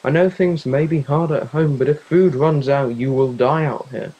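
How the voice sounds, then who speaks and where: A man's voice: a little high, normal speed, mellow, slightly rough, dull audio. A young man in a youth theatre play acting a worried, caring teenage friend, earnest and pleading. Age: young adult.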